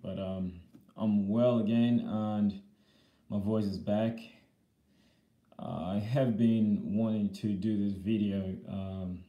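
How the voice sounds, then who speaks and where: A man live-streaming a casual vlog, slightly hoarse after being sick, talking haltingly with many fillers and repeated words. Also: on the low side, steady tone, reverberant, dull audio. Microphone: iPad camera.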